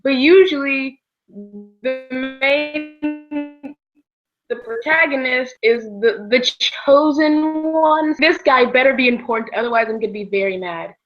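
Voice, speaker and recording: High young woman's voice, slightly dull recording. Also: measured pace, faint music behind. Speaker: book discussion co-host. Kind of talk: casual book chat.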